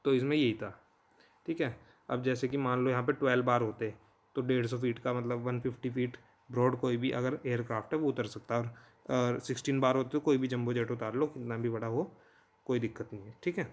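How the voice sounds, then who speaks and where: A man's voice: medium pitch, fairly even intonation, fairly quick. An Indian man teaching in an informal, conversational way, explaining step by step and often checking in with listeners. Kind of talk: informal explanatory lesson in Hindi mixed with English terms.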